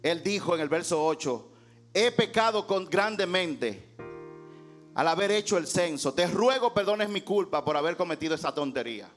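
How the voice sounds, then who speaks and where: A man's voice: sits high, animated, moderate pace, soft background music. A male pastor preaching an emphatic sermon in a rhetorical way, acting out dialogue from scripture.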